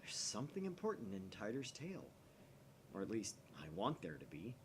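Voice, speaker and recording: A man's voice: on the low side, wildly swooping pitch, fairly quick, a little hiss or hum. A man voicing a grandiose, paranoid young mad scientist from a visual novel, in a theatrical, conspiratorial manner. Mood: brooding, suspicious.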